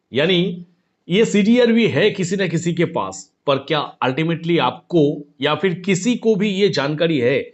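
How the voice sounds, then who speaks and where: A man with a fairly high voice delivers online opinion commentary. He is insistent, circling back to his points and pressing them with rhetorical questions. The recording is somewhat dull and lo-fi. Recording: dull, lo-fi sound.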